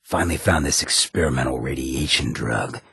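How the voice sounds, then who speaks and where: An actor playing a roguish, irreverent ghoul in a video game, with a raspy American voice and a wry, cocky, streetwise drawl. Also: male voice, a bass voice, normal speed, a bit bright, no room sound, studio quality, full character voice. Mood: wistful, wry. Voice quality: raspy, gravelly. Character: roguish drug-loving irradiated ghoul, swaggering, irreverent, loyal. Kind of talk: video game companion dialogue.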